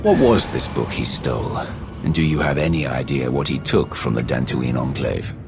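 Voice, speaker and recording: A man's voice: extremely low pitch, animated, normal speed, vocal fry, full character voice. An actor playing a blunt, commanding male Sith leader in a game cutscene. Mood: stern. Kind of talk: video game cutscene acting. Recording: music score behind.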